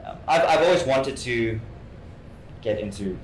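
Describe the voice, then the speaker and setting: A man's voice: mid-range voice, lively intonation, unhurried. A young man from Hong Kong, a guest actor, answering interview questions casually and often repeating words and restarting his sentences. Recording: roomy sound with faint noise.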